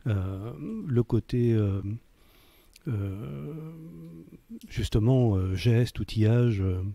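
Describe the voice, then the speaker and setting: A man's voice: on the low side, extremely slow, warm tone, no echo. A man, recalling his life as an interview guest in a hesitant way, searching for words and repeating himself. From Paris, France. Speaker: interview guest, leather craftsman. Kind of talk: reflective autobiographical interview talk.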